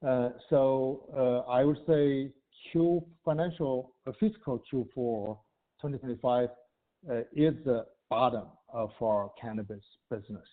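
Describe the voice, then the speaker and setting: A man answering questions as a company executive on an earnings call, speaking haltingly and hesitantly in short broken phrases. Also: mid-range voice. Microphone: conference call line. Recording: tinny, narrow phone line.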